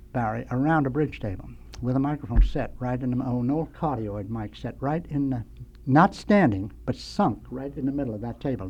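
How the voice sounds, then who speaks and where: An older American man, a veteran radio sound effects man, tells nostalgic anecdotes as a studio guest in a rambling, halting way. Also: medium pitch, a little mellow, muffled recording.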